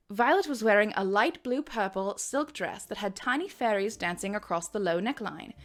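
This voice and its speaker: A woman's voice: medium pitch, fairly bright tone. A woman giving a dramatic reading of her own fan fiction, with wry, self-mocking asides. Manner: theatrical, self-mocking commentary. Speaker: reader and host.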